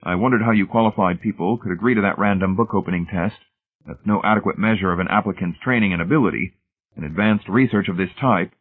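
A man's voice: deep voice, fast talker. A stubborn, hot-tempered engineer, voiced by a male reader argues in an indignant, combative way. It is storybook dialogue with a narrow, phone-like sound. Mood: hopeful.

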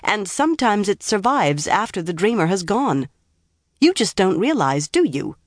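A woman's voice: mid-range voice, big pitch swings, normal speed, no room sound, voice pushed up. A female narrator plays a young woman in a fantasy audiobook, stern and reproving. She lectures firmly and sharply, as if she were the elder. The sound is close and dry.

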